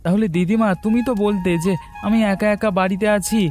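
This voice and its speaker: High-pitched young village rogue acted by a man. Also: steady tone, fairly quick, darkish tone, soft background music, close to an everyday voice. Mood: cajoling. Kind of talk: cartoon fairy-tale voice acting.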